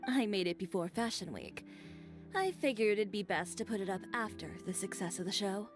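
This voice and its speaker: An actress voicing a poised, proud female fashion designer in a game cutscene, speaking in a calm, measured way. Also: mid-range voice, lightly acted. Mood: gracious. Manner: calm, composed, measured delivery. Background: game music.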